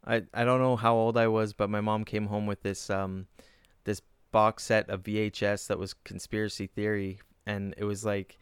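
Low smooth earnest man, dry sound. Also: flat delivery, moderate pace. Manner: reflective, earnest, musing. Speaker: comedian guest. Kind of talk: casual chat.

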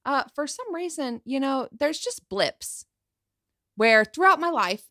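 A woman's voice: sits high, big pitch swings, measured pace, fairly bright tone, no room sound, studio quality. A woman in her thirties telling a story in casual chat among friends, laughing often and swearing freely. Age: 30s.

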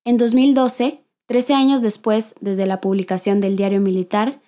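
Woman narrator, warm mellow tone, solemn scripted documentary read. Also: medium pitch, level tone, moderate pace.

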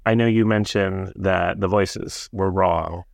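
A man co-hosting a podcast in casual chat, talking in a halting way with frequent uhs, recorded close and dry. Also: low voice, steady tone, gravelly, no echo. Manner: halting, restarts with ums and uhs. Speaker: podcast co-host.

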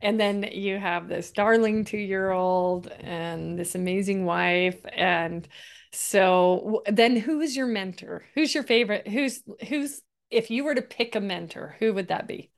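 A woman hosting an interview talks at an unhurried pace, chatty and playful. She asks personal questions and laughs often between them. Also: medium pitch, gravelly. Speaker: podcast host. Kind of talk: podcast interview conversation.

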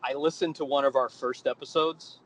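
A man's voice: mid-range voice, steady tone, normal speed, heavy background noise. A man chatting casually as a podcast co-host from inside a car on a phone or headset. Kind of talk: casual chat. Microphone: phone or plugged-in headset.

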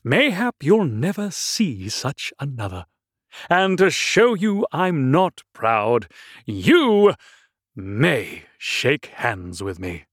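A man voicing a pompous, touchy egg creature from a storybook, haughty and blustering, with halting stammers. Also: medium pitch, big pitch swings, slightly rough, no echo, studio quality, voice pushed up, full character voice. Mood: grand, self-satisfied. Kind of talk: storybook character reading.

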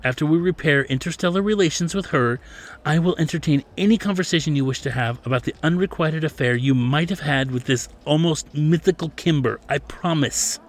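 A man's voice: fairly high pitch, a little fast, pitched above the performer's own voice, natural voice. A man voicing a stern, formal middle-aged male manager who lectures earnestly and insists on proper manners. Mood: pleading. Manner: lectures earnestly, addresses him as sir.

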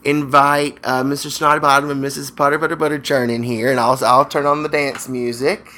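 A man's voice: medium pitch, flat delivery, fairly quick, noisy background. A man hosting comedic radio banter, teasing his co-host in a wry, mock-dramatic way.

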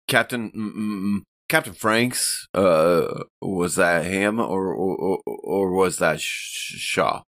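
A man playing a rattled, nervous spaceship crewman in a tabletop game, speaking in halting stammers. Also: sits low, fairly bright tone, dry recording, studio quality, heavily acted.

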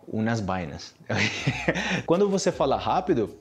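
A young Brazilian man with a Brazilian Portuguese accent, teaching everyday expressions and acting them out with big jumps in pitch. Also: big pitch swings, unhurried. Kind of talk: lively language lesson acting out expressions.

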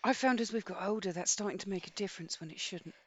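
A woman's voice: medium pitch, quick pace. A middle-aged woman co-hosting a podcast in casual, informal and blunt conversation. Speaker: podcast co-host. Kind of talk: casual chat.

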